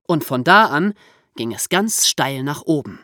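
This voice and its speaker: A woman's voice: low voice, lively intonation, a little slow, a bit bright, no room sound, studio quality. A woman hosting a podcast for young listeners, explaining and storytelling in a conversational way with casual asides. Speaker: podcast host.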